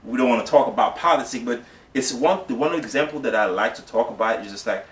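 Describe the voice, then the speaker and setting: A man's voice: medium pitch, fast talker, fairly bright tone. A man from Haiti, talking as a guest in a casual discussion, earnest and explanatory, often asking if the listener follows. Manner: earnest, explanatory, repeats "you see what I'm saying". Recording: echoey room, mic at a distance, faint noise.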